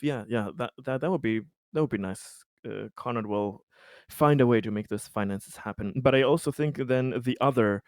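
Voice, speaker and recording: A man at a tabletop game, talking through options in a halting way, often repeating words before he lands a thought. His pitch is ordinary and middling. The recording is close and dry. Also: dry recording. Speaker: player. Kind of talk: casual tabletop game chat.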